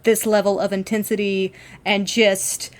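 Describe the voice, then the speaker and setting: Enthusiastic woman podcaster, mid-pitched. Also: little pitch movement, a little slow. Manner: enthusiastic, emphatic. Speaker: podcast co-host. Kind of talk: casual fan discussion.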